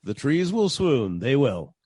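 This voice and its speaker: An actor playing a wry, deadpan private detective narrating his caper in 1940s radio style. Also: medium pitch, a little slow, husky, no echo. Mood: dry, polite. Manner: deadpan, wisecracking.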